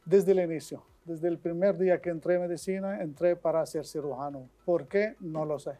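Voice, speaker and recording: Syrian-accented male surgeon guest: earnest, measured, fairly high voice. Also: steady tone, dark tone. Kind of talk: interview answers.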